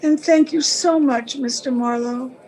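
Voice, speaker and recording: Noir client, played by a woman: high voice, very slow halting delivery, wistful, lovelorn radio melodrama. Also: fairly even intonation, darkish tone, poor audio. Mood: grateful, sad. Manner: halting, breaking phrases, earnest. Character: wistful, sentimental married woman, pleading and lovelorn. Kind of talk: old-time radio melodrama acting.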